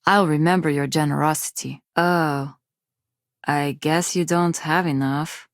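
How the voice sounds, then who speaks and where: An actress playing a shy, self-doubting young undergraduate from a poor space colony, with a hesitant, awkward manner, in video game dialogue. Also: female voice, sits low, steady tone, measured pace, no echo, clean studio sound. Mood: wistful, downcast. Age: young adult.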